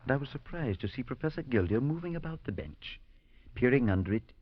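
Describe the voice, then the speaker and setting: An actor playing an earnest, kindly male priest who narrates a 1940s radio drama in a measured storytelling manner. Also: medium pitch, sing-song, slightly rough, dry recording. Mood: narrating. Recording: dull 1940s radio broadcast.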